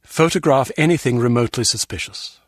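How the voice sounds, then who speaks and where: A man's voice: mid-range voice, brisk, dry recording, lightly acted. A man playing a precise, dry, disdainful intelligence officer in a video game. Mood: dry, skeptical.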